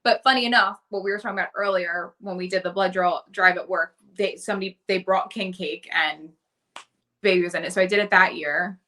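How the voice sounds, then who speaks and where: A woman with a Baltimore accent co-hosting a podcast in casual chat, rambling with many "like"s and quick self-corrections. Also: on the low side, fairly even intonation, sharp tone. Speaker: podcast co-host.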